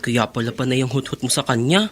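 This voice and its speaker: Chiding young student friend. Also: female voice, a bass voice, fast talker, slight background noise. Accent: Filipino. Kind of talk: Filipino radio drama acting.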